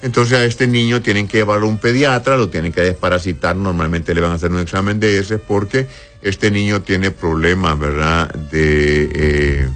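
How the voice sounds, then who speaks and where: A man's voice: low-pitched, steady tone, normal speed, a bit bright, soft background music. A man speaking as an on-air doctor, reading listeners' questions aloud and answering them in a blunt, explanatory way with frequent checking tags. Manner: explanatory, often adds a checking "right?" tag.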